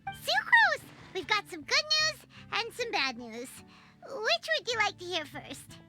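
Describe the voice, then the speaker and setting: A woman's voice: very high voice, wildly swooping pitch, a little slow, exaggerated voice. A woman voicing a tiny, cheeky female companion creature in a video game, bossy and speaking of herself in the third person. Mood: chirpy. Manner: bratty, talks about herself in third person. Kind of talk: game voice acting. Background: loud game music.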